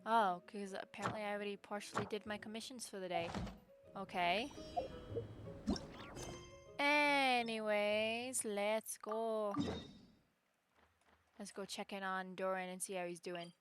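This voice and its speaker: A woman's voice: mid-range voice, steady tone, measured pace, long pauses, loud music behind the voice. A young adult woman, chatting casually and in a rambling, informal way as a game streamer. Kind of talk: casual livestream chat and game commentary.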